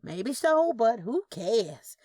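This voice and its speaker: A woman's voice: on the high side, sing-song, takes it slowly, mellow, no echo, voice pushed up, heavily acted. A woman acts out a middle-aged female relative in a family tale. She is skeptical and dismissive, stressing her words in a raised voice. The recording is dry. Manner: emphatic, dismissive.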